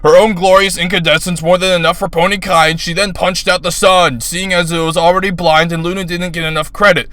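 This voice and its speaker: A man giving a bombastic, grandiose dramatic reading of a comic fan story in a strained, loud delivery. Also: high voice, level tone, a little fast, few pauses, no echo. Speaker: fan fiction reader.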